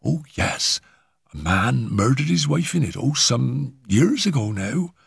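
A man voicing a frightened young woman in a storybook reading, talking in a hurried, halting, nervous way. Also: mid-range voice, big pitch swings, vocal fry, breathy edge, no echo, studio quality, voice pushed up, full character voice.

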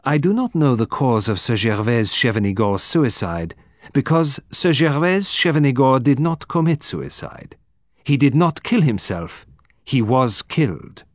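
A man playing a composed, formal detective from abroad, speaking deliberately with dramatic emphasis in an audiobook. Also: mid-range voice, sing-song, no room sound, clean studio sound, voice pushed up. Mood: firm, revealing. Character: composed, precise foreign male detective, quietly theatrical.

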